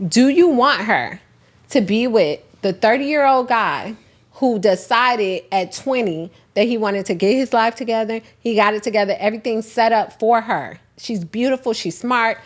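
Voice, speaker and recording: Woman host, blunt and probing, slightly high voice. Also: moderate pace. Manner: blunt, pressing with questions. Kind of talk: casual chat.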